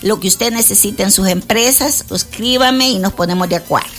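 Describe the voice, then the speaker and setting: Woman in her 60s, radio life coach, lively and chatty, soft music under her. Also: medium pitch, moderate pace. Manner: lively, chatty, laughs often, addresses listeners directly. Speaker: motivational coach on a radio show. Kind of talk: casual radio chat.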